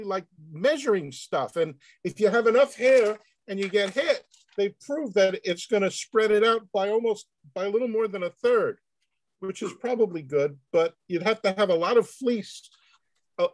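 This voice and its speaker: A man speaking off the cuff in a comic, excitable way, often repeating words as he goes on a video call. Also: high-pitched, no echo. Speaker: panel reader answering questions. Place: indoor room on a video call.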